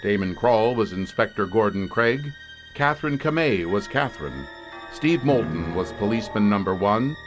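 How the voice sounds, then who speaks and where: A man with a fairly low voice serves as radio announcer. He reads scripted closing credits in the formal style of a drama broadcast. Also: animated, moderate pace, soft background music.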